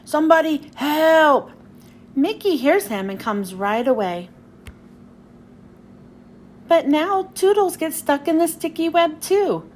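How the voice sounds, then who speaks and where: A woman's voice: sits high, expressive, slow, long pauses, darkish tone. A woman reading a children's storybook aloud as narrator, expressively, as if to young children. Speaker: children's book reader. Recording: faint background noise.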